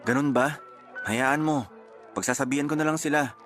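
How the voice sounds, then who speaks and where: An actor playing a placating, pleading boyfriend in a radio drama. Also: male voice, a little high, steady tone, normal speed, a bit bright, faint music behind, natural voice. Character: pleading, placating boyfriend who sides with his family.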